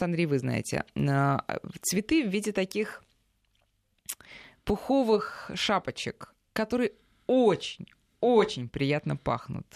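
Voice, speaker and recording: A woman hosting a radio show in a chatty way, her voice hoarse and unwell. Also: deep voice, darkish tone, dry recording, studio quality. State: hoarse, ailing voice. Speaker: radio host. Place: radio studio.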